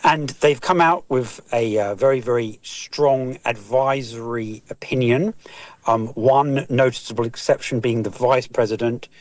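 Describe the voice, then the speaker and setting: A man calling into a talk show, giving a formal and measured report with many hesitations. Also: mid-range voice, darkish tone, dry recording. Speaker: regular caller.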